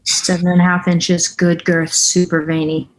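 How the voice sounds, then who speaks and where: A woman plays a bossy female surveillance agent in a script read. She teases and instructs in a deadpan way, her voice a little low. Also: moderate pace, lightly acted, rough recording. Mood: deadpan teasing. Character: teasing, bossy agent coaching a colleague over earpiece. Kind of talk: screenplay table read acting.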